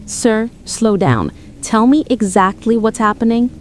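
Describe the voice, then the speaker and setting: A woman playing a steady emergency dispatcher, giving firm, controlled instructions. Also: fairly high pitch, unhurried, slight background noise. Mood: calm, firm. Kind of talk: audio drama acting.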